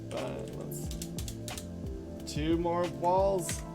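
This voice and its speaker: A man's voice: on the high side, steady tone, drawn-out words. A man streaming a game, rambling casually, answering chat and muttering to himself as he plays. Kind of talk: casual live-stream chat while playing. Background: background music.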